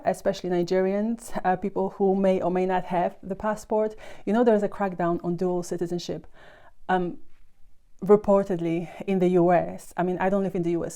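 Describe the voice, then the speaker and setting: A woman's voice: on the low side, warm tone. A young woman from Poland, a vlogger telling a personal story to camera in a conversational way, full of "you know" asides.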